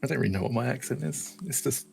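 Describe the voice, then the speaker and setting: A man's voice: mid-range voice, fast, gravelly, darkish tone, faint music behind. A man with a southern English accent chatting casually on a live stream, often laughing at himself. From southern England. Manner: laughs a lot, self-mocking. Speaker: game developer.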